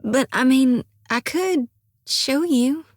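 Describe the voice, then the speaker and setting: A woman's voice: sits high, slow, dry recording, clean studio sound. Flustered young farm-girl priestess. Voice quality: folksy, earnest, flustered. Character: earnest young farm-raised woman priest, devout, stubborn, flustered in love. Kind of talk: video game voice acting. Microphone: dry studio mic.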